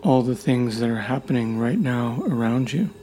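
A man leading a guided meditation in a soothing, deliberate way, leaving long pauses between short phrases. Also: mid-range voice, a little hiss or hum. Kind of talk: guided meditation, gentle instruction. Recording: loud humming meditation tones throughout. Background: gentle music with sine tone and singing bowls.

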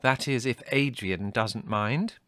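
A man's voice: a little high, sing-song, normal speed, dry recording, clean studio sound, voice pushed up. A man voicing a haughty young woman who speaks in an airy, arch, easily offended way.

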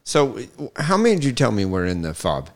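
A man's voice: lowish voice, wildly swooping pitch, brisk. Man asking rambling interview questions. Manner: rambling questions with frequent laughs. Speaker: podcast co-host. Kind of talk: casual interview chat.

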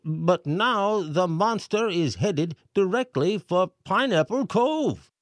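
A man's voice: high-pitched, animated, slow, dry recording, clean studio sound, voice pushed up. A man voicing a flustered male town mayor in a storybook, reading a warning letter aloud in a raised, urgent voice. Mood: alarmed.

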